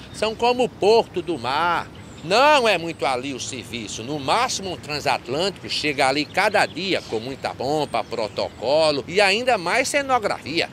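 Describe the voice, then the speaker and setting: An actor playing a cynical, complaining gravedigger in an animated film, speaking emphatic verse. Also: male voice, fairly high pitch, slight background noise. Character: grumbling male cemetery gravedigger, cynical and worn-down.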